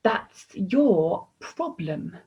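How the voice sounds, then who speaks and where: A woman's voice: mid-range voice, very animated, extremely slow, dark tone, muffled recording. A woman voicing a tough, independent young princess in a storybook, speaking firmly and bluntly. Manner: firm, blunt.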